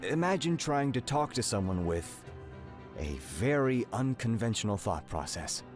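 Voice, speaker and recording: A man playing a breezy, teasing fantasy game character with a playful, mocking, drawn-out manner in a fan dub. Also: mid-range voice, big pitch swings, over music, natural voice. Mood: musing.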